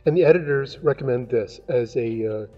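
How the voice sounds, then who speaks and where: An older man, a pastor, giving a relaxed, conversational devotional and prayer into a webcam in a large indoor room. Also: mid-range voice, moderate pace, faint music behind. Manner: conversational, relaxed, musing with asides. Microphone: webcam, close framing. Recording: dull lo-fi webcam sound.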